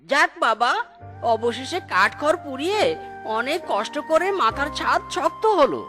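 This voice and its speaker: A woman's voice: high voice, expressive, normal speed, bright, forceful tone, soft background music. A woman voicing a weary, worried and pleading poor beggar in a children's cartoon. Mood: relieved.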